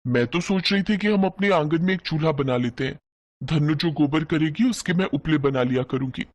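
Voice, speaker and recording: A man's voice: fairly high pitch, brisk, lightly acted. A man voicing a sweet, dutiful young village bride, speaking politely and earnestly in a bedtime story.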